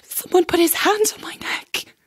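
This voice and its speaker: An actress with an Irish accent playing a terrified young chorus girl, halting, pleading and near tears in an audio drama. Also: lightly acted. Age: young adult. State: frightened, near crying. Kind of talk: naturalistic audio drama acting.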